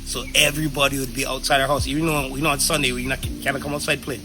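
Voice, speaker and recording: A man's voice: medium pitch, little pitch movement, rapid-fire, over music. A man from Hawaii with a Hawaiian Pidgin accent tells personal stories casually, in a candid, slangy, playful way. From Kahuku, Hawaii. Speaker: interviewee.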